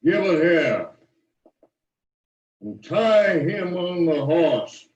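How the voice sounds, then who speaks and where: A man's voice: a little high, lively intonation. An actor playing a bossy, curt male outlaw in an old western drama, barking orders. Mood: threatening. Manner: loud, curt, slow commands. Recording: muffled, echoey old drama recording, distant.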